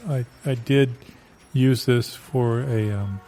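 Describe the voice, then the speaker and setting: A man playing a polite, worried customer who speaks haltingly and tentatively in an improvised comedy sketch. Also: mid-range voice, mellow, a little hiss or hum.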